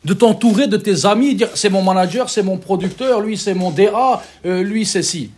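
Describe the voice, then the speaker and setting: Man, fairly high voice, show host, lively, teasing, quick questions. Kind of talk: casual interview chat.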